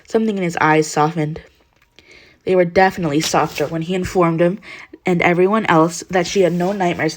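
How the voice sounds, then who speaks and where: A woman's voice: fairly low pitch, darkish tone. A woman reading fan fiction aloud as a podfic narrator, in scripted story narration.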